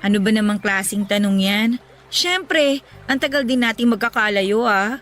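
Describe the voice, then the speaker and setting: A woman playing a caring, level-headed young girlfriend in a Filipino radio romance, gentle and reassuring. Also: mid-range voice, lively intonation, normal speed, faint music behind, lightly acted. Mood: caring, wistful. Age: young adult. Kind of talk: Tagalog radio romance drama acting.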